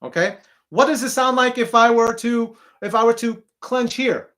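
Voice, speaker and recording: A man with a deep speaking voice teaching a singing lesson, who ends nearly every phrase with "okay?" and sings short demonstration notes. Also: moderate pace, fairly bright tone.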